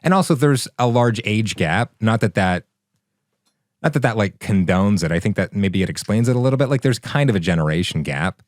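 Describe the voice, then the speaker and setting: A man's voice: lowish voice, expressive, brisk, no room sound, clean studio sound. A young man co-hosting a casual podcast, wry and teasing. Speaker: podcast co-host. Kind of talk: casual chat.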